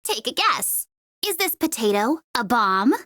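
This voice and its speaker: Cocky, animated young female mercenary. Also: fairly high pitch, unhurried, dry recording, clean studio sound, exaggerated voice. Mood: playful menace. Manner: taunting, sardonic, playful. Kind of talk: video game character voice lines.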